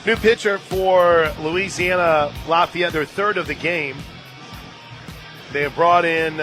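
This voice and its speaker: A man's voice: a little high, pushed and bright. An American man doing live play-by-play sports commentary on the radio from a stadium booth. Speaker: play-by-play announcer. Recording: faint music and crowd noise behind. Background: ballpark crowd and faint music.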